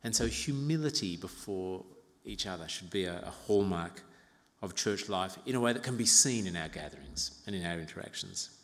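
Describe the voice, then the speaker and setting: A man in his fifties from Uganda preaching an expository sermon as a pastor, in a measured, reflective way with pauses and gentle asides. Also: low voice, animated. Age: 50s. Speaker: pastor preaching to own congregation. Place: large reverberant church interior. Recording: reverberant hall.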